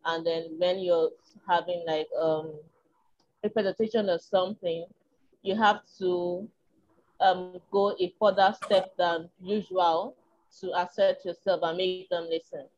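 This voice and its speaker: A woman's voice: fairly low pitch, fairly even intonation, unhurried, dull audio. A woman with a Nigerian accent and a small, tiny voice, talking frankly and reflectively as an interview guest, with frequent ums. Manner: reflective, frank, with filler ums and soft laughs. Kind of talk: candid conversational chat.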